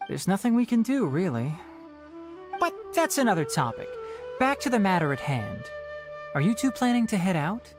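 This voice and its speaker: A young forest ranger in a video game, polite and articulate. The measured phrases move with lively rises and falls. Faint music sits behind the voice. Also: male voice, high-pitched. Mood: gentle. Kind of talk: video game dialogue acting. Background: background music.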